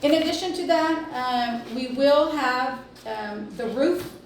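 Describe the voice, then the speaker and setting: Echoey, higher-pitched woman, halting delivery. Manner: hesitant, halting delivery with long pauses. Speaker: healthcare district executive reporting to board. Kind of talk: informal spoken report at board meeting. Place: indoor public meeting room. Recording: echoey room, distant mic, faint noise.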